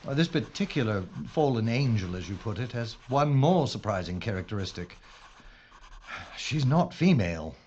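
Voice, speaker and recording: Casting: male actor as wry, formal police inspector for radio play; lively intonation, muffled noisy recording. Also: mid-range voice, lightly acted. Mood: grim, clinical. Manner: measured, formal, clinical. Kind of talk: audio drama acting.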